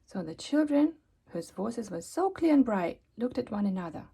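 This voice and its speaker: A woman reading a children's story aloud expressively, with dramatic emphasis and pauses. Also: fairly high pitch, big pitch swings, vocal fry, mellow, slight background noise. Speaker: storybook reader.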